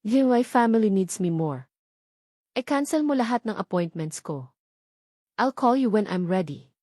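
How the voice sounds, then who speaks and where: A woman's voice: mid-range voice, animated, a little slow, warm tone, no echo, studio quality. A woman with a Filipino accent voicing a firm, wealthy male CEO devoted to his family in an audiobook. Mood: softer, earnest. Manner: firm, earnest.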